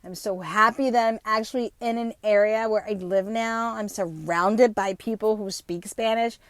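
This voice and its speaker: A woman in her fifties with a New Jersey accent at times, hosting an unscripted podcast monologue, talking fast, swearing freely and tagging her points with "right?". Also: mid-range voice, fairly even intonation, no echo. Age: 50s. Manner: fast-talking, profane and rambling; emphatic, with frequent tag questions. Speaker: podcast host.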